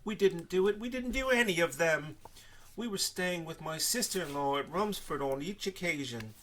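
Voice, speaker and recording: A man voicing an indignant, blustering male crook in a storybook, shouting accusations and protests. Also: a little high, animated, normal speed, a little hiss or hum, voice pushed up.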